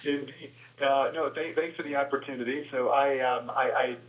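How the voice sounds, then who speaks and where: A man from South Africa and Canada, a guest expert on a phone call, explaining his work in a halting, measured interview style. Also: mid-range voice, steady tone, fairly bright tone. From born in South Africa, grew up in Canada. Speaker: guest expert, university instructor. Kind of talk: conversational interview answers. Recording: dull, echoey phone line.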